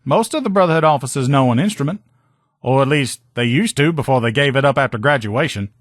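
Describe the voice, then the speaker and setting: A man's voice: mid-range voice, lively intonation, fast, no room sound, studio quality, close to an everyday voice. A man playing an upbeat, loyal army lieutenant and armor mechanic, with folksy, informal soldier talk, as video game dialogue. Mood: conversational.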